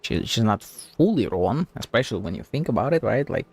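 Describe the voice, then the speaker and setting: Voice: medium pitch, very animated, brisk, a little mellow, slightly rough. A game streamer giving casual live reaction commentary, chatty, blunt and sweary. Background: game music.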